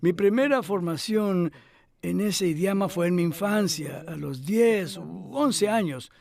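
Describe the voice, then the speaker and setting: An older man with a notably high voice answers interview questions at a measured, thoughtful pace. He pauses often with hesitant fillers as he recalls things. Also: gravelly, a little mellow. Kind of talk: reflective interview talk.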